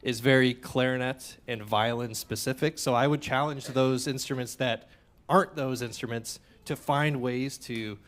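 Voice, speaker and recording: A man's voice: mid-range voice, fairly even intonation, normal speed. Hesitant man explaining on stage. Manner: hesitant, many fillers, thoughtful. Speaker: trombonist in the band. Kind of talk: workshop talk. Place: community center hall. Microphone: stage mic.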